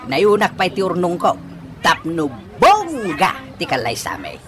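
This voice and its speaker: A man's voice: high voice, wildly swooping pitch, a little slow, fairly bright tone, slight background noise, full character voice. A man playing a giddy, boastful, lovestruck old father in a radio comedy drama, with exaggerated, giggly delivery.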